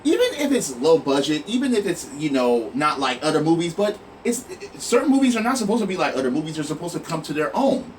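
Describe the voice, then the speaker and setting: Male online host, fairly high and very animated, emphatic exasperated rant with swearing, recorded off-mic with audible noise. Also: fast talker, few pauses. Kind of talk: opinionated rant to viewers. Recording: noisy, distant mic, room sound.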